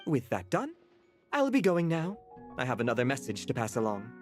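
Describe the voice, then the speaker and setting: An actress voicing a prim, haughty talking cat butler in a video game, pedantic and formal. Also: female voice, a bass voice, huge pitch swings, a little fast, darkish tone, soft background music, heavily acted. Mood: matter-of-fact, prim.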